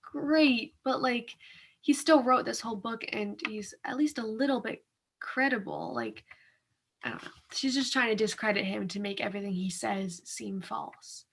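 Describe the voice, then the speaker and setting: High-voiced, casual young woman. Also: expressive, normal speed, breathy edge. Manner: colloquial, loosely phrased, heavy use of "like". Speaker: co-host of a reading discussion. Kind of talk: casual chat.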